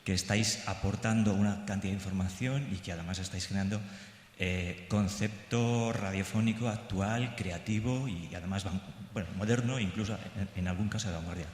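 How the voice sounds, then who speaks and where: Man, low pitch, halting informal delivery, appreciative, reverberant room. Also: fairly even intonation. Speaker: radio teacher, audience speaker. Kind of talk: informal remarks from the floor. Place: large indoor conference hall. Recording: echoey, noisy hall.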